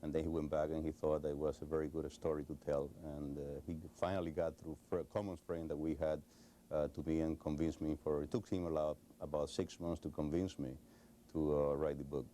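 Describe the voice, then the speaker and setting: A middle-aged man speaks in English with a Cuban Spanish accent. His voice is very deep, dark and mellow, and it stays close to a flat, even pitch. He pauses often and restarts his sentences, and there is a faint hiss behind him. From Cuba. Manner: hesitant, many fillers and restarts. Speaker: interview guest. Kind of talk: recorded television interview.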